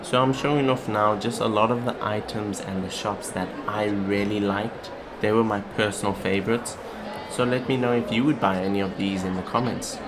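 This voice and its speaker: A man's voice: on the low side, steady tone, normal speed. A man talking casually as a vlogger, in a chatty, plain-spoken way. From South Africa. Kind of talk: casual vlog talk and voice-over narration. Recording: clearly noisy, ambient background sound.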